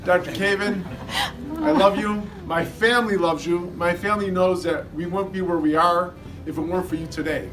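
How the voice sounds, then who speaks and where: High-pitched man, animated party toast, distant mic, loud music. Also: normal speed. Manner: animated storyteller, laughing through jokes. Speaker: former trainee giving a tribute.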